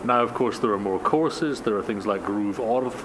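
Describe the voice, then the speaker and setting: Man, radio host, interviewing, measured, tag questions, lowish voice, background noise.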